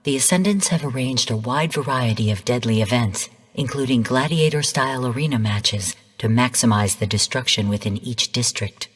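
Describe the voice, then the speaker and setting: An actress playing a female artificial intelligence mentor in a video game, delivering mission briefings in a calm, stern, measured and formal way. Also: very deep voice, slightly rough, in a large hall. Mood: measured, instructive. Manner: measured, formal, cool, precise. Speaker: game guide and mission narrator.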